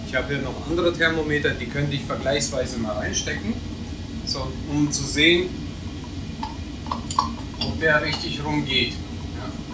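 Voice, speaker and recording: A man working as a heating installer who explains a repair job step by step in a plain, matter-of-fact way. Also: on the high side. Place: building's heating room. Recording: echoey room, voice from a distance.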